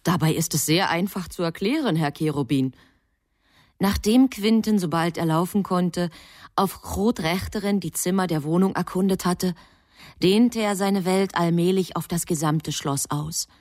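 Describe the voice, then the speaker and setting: An actress playing a composed angel who matter-of-factly narrates a human life in a radio drama. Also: female voice, lowish voice, measured pace, darkish tone, no echo, studio quality, lightly acted.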